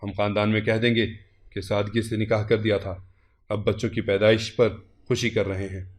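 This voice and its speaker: A man voicing a stern older uncle, low-pitched. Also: little pitch movement, close to an everyday voice. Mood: firm. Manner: grave, reproachful, measured. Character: stern, disappointed older uncle rebuking his nephew.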